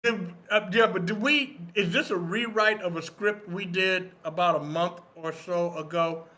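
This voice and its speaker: A man with a high-pitched, forceful voice takes part in a workshop debate. He is opinionated and instructive, leaning on repetition to press each point. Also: unhurried. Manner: emphatic, instructive, repeats points for emphasis. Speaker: participant in a screenwriting critique.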